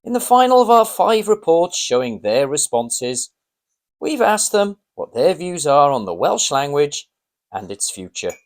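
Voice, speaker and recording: Lively male news presenter. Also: on the high side, unhurried. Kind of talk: scripted news narration.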